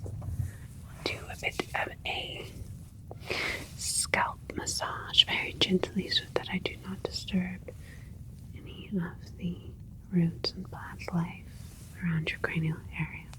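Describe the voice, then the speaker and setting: A woman voicing a gentle alien scientist in a whispered ASMR sci-fi roleplay, speaking softly and carefully in soothing, clinical phrases. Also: lowish voice, flat delivery, slow, long pauses, rough recording. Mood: soothing, attentive. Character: gentle alien scientist doctor tending a newborn plant hybrid.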